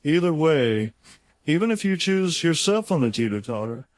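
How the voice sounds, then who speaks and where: A man's voice: fairly high pitch, big pitch swings, normal speed, no echo. Man narrating a conversational motivational audiobook. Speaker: audiobook author reading own chapter.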